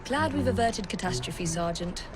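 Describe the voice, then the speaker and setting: An actress playing a composed, dryly teasing Victorian adventurer in a video game. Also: female voice, mid-range voice, expressive, moderate pace, close to an everyday voice. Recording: loud music behind the voice.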